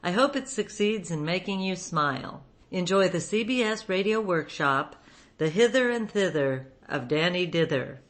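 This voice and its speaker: A woman's voice: fairly low pitch, animated, moderate pace. A woman hosting an old-time radio hour, reading a scripted introduction.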